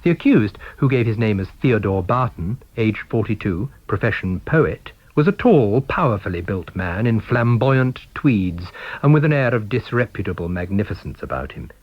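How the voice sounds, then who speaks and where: A British man narrates a story in a middle-pitched voice with very animated intonation. The sound is close and dry, slightly dull in the top end. He reads as a practised storyteller. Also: normal speed, no room sound. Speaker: audiobook reader. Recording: dull, band-limited recording.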